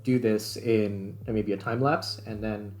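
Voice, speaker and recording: A man's voice: lowish voice, fairly even intonation, takes it slowly, a little mellow. A man hosting casually, thinking aloud in informal speech as he comments on his screen recording. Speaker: video host, game developer.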